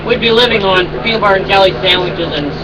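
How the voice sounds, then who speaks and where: A woman's voice: medium pitch, fast, far from the mic, a crowd in the background, rough recording. A woman chiming in during a casual home vlog, blunt and sarcastic, swearing freely. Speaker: vlogger's wife. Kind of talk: casual chat.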